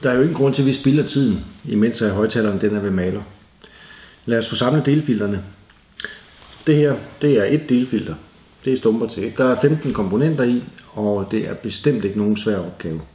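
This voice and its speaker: A man's voice: on the low side, dark tone. A Danish man presenting a do-it-yourself tutorial in a casual, plain-spoken, explanatory way. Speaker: DIY presenter. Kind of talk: hands-on tutorial narration, casual. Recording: echoey room, faint background noise.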